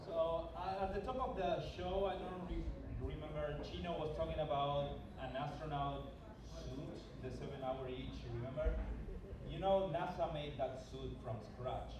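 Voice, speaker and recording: A man from Argentina with an Argentine Spanish accent doing a halting, self-deprecating stand-up routine at an open mic. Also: on the high side, dull audio, crowd noise. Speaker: stand-up comedian. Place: small bar open mic. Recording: echoey bar, distant mic, loud background noise.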